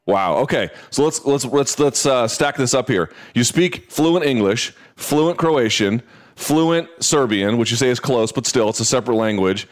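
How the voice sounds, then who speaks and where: A man hosting a podcast interview, conversational and quick to exclaim in amazement. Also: medium pitch. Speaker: podcast host.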